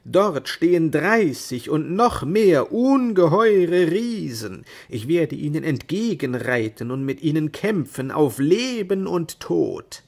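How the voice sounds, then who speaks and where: A man's voice: fairly high pitch, big pitch swings, slow pace, creaky, hardly any pauses, pitched above the performer's own voice, full character voice. A man voicing a proud, deluded knight-errant in a grandiose, declamatory manner for a storybook. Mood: elated, bold.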